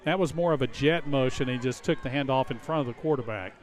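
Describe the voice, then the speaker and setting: A man's voice: mid-range voice, fast talker. A man giving live analytical commentary on a football game, explaining plays in a conversational way. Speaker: color commentator.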